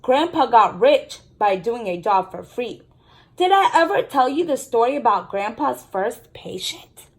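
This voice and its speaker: Storybook mother, cheerful and explanatory, high voice, performed by a woman reader. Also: very animated, a little slow. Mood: storytelling.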